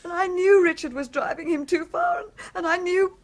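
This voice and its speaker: A woman playing a shrewd, knowing carer in a radio play, coaxing and deliberate with dramatic intensity. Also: extremely high pitch. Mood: overwrought.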